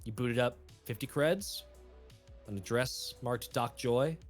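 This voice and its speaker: A man's voice: medium pitch, measured pace, soft background music. A man running a tabletop roleplaying game as game master, narrating in a loose way with lots of fillers and laughs.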